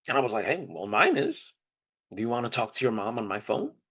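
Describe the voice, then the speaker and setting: A man's voice: mid-range voice, big pitch swings, fairly quick, fairly bright tone. A man telling personal stories in a casual, conversational way, candid and openly emotional. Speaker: trip leader for student service trips.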